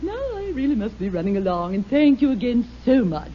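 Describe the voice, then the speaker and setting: A gushing, affected schoolteacher who dresses her talk up with French. The voice sits a bit high and swings widely. It comes from a muffled old radio broadcast with audience laughter and hiss behind her. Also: very animated, a little fast. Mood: flustered. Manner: effusive, sprinkles in French phrases. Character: gushing, affected female English teacher, prim but starstruck. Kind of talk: 1950 radio sitcom acting.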